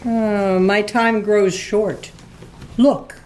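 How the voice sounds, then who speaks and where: A woman playing a solemn, questioning Christmas spirit in a radio play, speaking in a measured way. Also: mid-range voice, steady tone, darkish tone, a little hiss or hum. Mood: probing. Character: solemn, probing Christmas spirit guiding an old miser.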